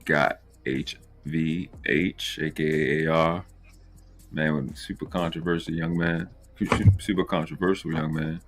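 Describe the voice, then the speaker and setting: A man with a deep voice hosts a livestream. He talks in loose, slangy phrases, addressing guests and viewers conversationally as the show's host. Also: steady tone, a little slow, faint music behind. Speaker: live show host. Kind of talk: casual livestream chat.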